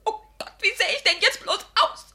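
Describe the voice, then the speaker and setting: A woman's voice: very high-pitched, expressive, moderate pace, pushed and bright, exaggerated voice. A woman playing a vain, wronged young lady in a literary radio drama, whimpering shrilly and vengefully. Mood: tearful outrage.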